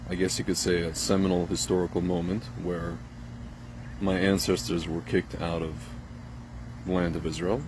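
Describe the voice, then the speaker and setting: A low-voiced man from Ukraine talks straight to his viewers, circling his point with fillers and restarts. His voice sits deep, and a faint noise hums behind him. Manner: rambling, addresses viewers directly, many fillers and restarts. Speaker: vlogger. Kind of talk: casual opinion monologue to camera.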